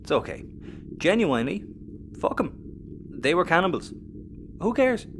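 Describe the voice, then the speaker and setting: A man's voice: fairly high pitch, sing-song, normal speed, a bit bright, slight background noise. A man playing an exasperated, sardonic spaceship crewman in a sci-fi audio comedy. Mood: gleeful relief.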